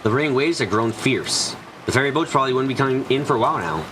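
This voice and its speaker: A man's voice: mid-range voice, lively intonation, fast talker, background noise. A man narrating a scripted story in a dramatic style for a visual novel fan dub. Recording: music behind the voice. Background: clear background music.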